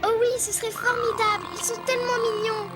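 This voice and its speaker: A sweet, excitable young cartoon character. The voice is extremely high and held in a narrow band, with loud music behind. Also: female voice, fast talker. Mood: delighted. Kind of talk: dubbed cartoon acting. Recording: voice under loud soundtrack music.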